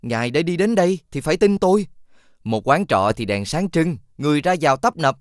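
A man voicing a wise, friendly talking fox in an animated fairy tale, earnest and insistent as he gives advice. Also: fairly high pitch, a little fast, no echo, clean studio sound. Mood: earnest advising.

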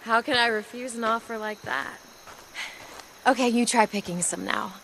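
A woman's voice: on the high side, normal speed, creaky, fairly bright tone, slight background noise, low-quality recording. An American actress playing a playful, teasing young woman scientist in a video game, precise when she explains. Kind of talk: naturalistic cinematic game acting.

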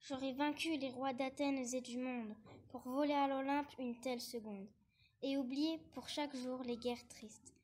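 High-voiced woman as a bitter, scheming war minister. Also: fairly even intonation, measured pace, darkish tone. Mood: lovestruck. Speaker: actor reading a verse monologue. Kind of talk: theatrical verse recitation.

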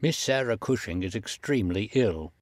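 Man playing a grave young doctor, low-pitched. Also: animated, normal speed, no room sound, clean studio sound, lightly acted. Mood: grave, firm. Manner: solemn, authoritative, formal. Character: grave young gentleman doctor, stern and formal.

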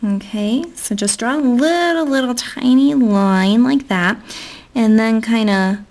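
Young american woman doing a chatty tutorial, voice a bit on the high side, informal. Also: unhurried, a little mellow. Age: young adult. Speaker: nail art tutorial host. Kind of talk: casual step-by-step tutorial narration.

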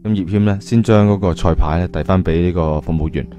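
Male storyteller, Cantonese accent, lowish dark voice, colloquial dramatic narration, chatty asides, faint music behind. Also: fast, muffled recording.

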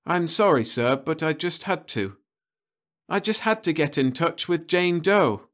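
A man's voice: on the high side, lively intonation, unhurried, a bit bright, pitched above the performer's own voice. A man voicing an earnest, polite young man who apologizes respectfully. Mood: apologetic.

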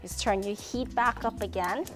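A woman's voice: mid-range voice, moderate pace. A woman in her thirties hosting a cooking show, explaining each step in a friendly way while she cooks in a big home kitchen on a lapel mic. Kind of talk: cooking demonstration. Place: large home kitchen, indoors. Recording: background music and frying sizzle.

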